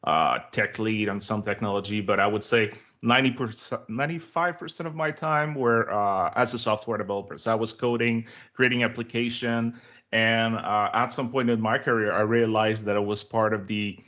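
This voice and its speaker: Quebec french guy chatting casually in an interview, lowish voice, kinda dull lo-fi audio. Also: normal speed, a bit bright. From Quebec, Canada. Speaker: interview guest, developer relations director.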